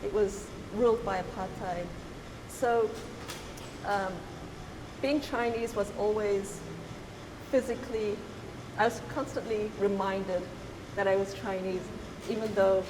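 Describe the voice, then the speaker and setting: A middle-aged woman from Zimbabwe, an artist talking reflectively, pausing often and restarting her thoughts. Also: medium pitch, fairly even intonation. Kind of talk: reflective conversational talk. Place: gallery. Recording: roomy hall with hiss.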